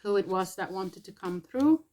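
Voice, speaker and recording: A woman from Norway, channeling spiritual messages in a casual vlog. She speaks softly and tentatively, pausing often, with an irritated throat she keeps clearing. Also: mid-range voice, animated.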